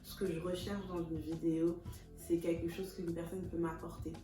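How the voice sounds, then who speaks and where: A woman's voice: on the low side, flat delivery, moderate pace, distant mic. Chatty thirty-year-old woman vlogging in an echoey room. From Congo (Kinshasa). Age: 30s. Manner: warm, chatty, laughs often, mixes in English words. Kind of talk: casual self-introduction vlog. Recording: echoey room, voice a bit off-mic, music behind.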